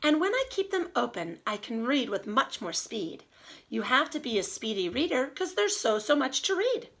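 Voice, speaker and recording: A woman teacher reading aloud and giving a lesson to young children in an expressive, child-directed way. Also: high-pitched, moderate pace. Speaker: early elementary teacher.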